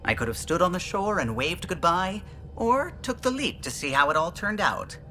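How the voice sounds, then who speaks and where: A lively, precise alien starship pilot. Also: male voice, sits high, normal speed, slight background noise, heavily acted. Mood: fond, proud. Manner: chatty, fond reminiscing, precise. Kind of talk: video game voice acting. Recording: music behind the voice.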